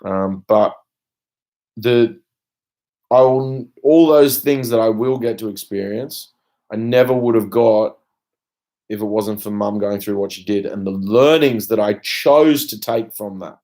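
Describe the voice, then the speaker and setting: Low-voiced, blunt Australian man. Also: a little slow, dark tone. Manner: blunt, emphatic, sweary, with trailing asides. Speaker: vlogger. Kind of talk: unscripted personal rant to camera.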